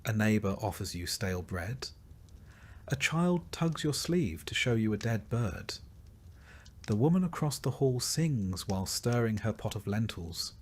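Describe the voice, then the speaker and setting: A man reading a scripted documentary-style narration in a measured, dramatic storytelling manner with wry, dry asides. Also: lowish voice, sing-song. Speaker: narrator of history sleep stories.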